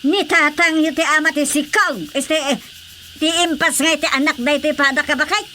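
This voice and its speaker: A high, bright-voiced character in an Ilocano-accented radio drama, full of quick exclamations. Faint noise sits under her voice. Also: steady tone.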